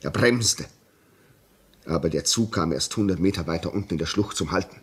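An actor playing a grave, shaken railway signalman in a German radio play, telling his story haltingly and insistently. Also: deep voice, breathy edge. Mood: grave, tense. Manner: slow, halting, repeats words for emphasis.

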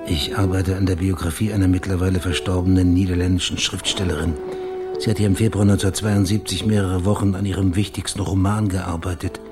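Male investigator, low voice, courteous but probing, crime radio play acted by a man. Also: flat delivery, quick pace, over music, close to an everyday voice. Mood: polite, probing. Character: male investigator posing as biographer, polite, probing. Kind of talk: German radio crime drama acting.

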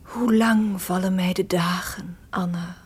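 A woman's voice: on the low side, steady tone, measured pace, a little mellow, close to an everyday voice. An actress playing a proud, sorrowful captive young noblewoman in a formal, theatrical radio play, solemn and declamatory.

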